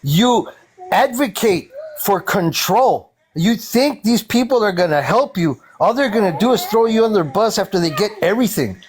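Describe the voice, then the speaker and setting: A man's voice: high voice, animated, moderate pace, husky. Combative ranting man in his forties. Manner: combative, ranting, talks over others. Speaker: caller. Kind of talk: heated political debate.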